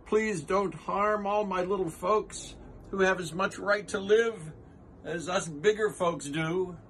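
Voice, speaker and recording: Big gentle storybook elephant, male reader putting it on: sincere, anxious, pleading, raised pitch. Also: takes it slowly, a little hiss or hum, full character voice. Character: earnest, worried, kind-hearted big male elephant. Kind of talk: storybook character reading.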